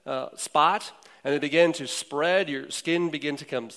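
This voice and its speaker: A man's voice: on the high side, fairly even intonation, a little slow, fairly bright tone. A man preaching a sermon, retelling a story in a conversational way with frequent fillers. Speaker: pastor.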